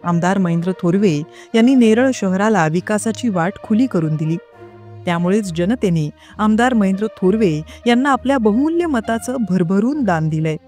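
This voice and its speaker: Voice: mid-range voice, animated, moderate pace, a little mellow, soft background music. A news narrator reading a scripted report.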